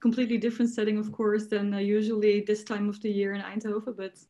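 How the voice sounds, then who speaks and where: A young woman designer talking from her living room on a video call, soft-spoken and thoughtful. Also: medium pitch, flat delivery, fast talker. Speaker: designer, panelist. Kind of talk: casual panel talk.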